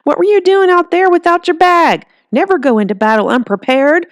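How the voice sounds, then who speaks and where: A woman's voice: very high-pitched, a little fast, dry recording, studio quality, voice pushed up. A woman voicing a tough, bossy, foul-mouthed granny who barks folksy orders in an audiobook. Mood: commanding.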